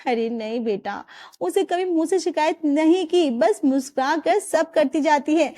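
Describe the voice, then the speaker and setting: A woman voicing a weary, low-voiced mother-in-law. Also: a little slow, dark tone. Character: stern older mother-in-law, weary and troubled.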